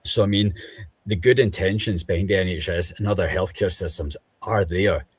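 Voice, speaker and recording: A man with a Scottish accent, speaking as a guest on an online panel discussion in a measured, thoughtful way with frequent pauses. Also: low-pitched, slight background noise. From Scotland.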